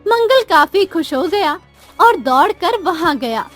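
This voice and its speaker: A woman narrates a scripted moral tale. Her voice is high and her pace is slow and measured. Soft music plays behind her. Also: big pitch swings.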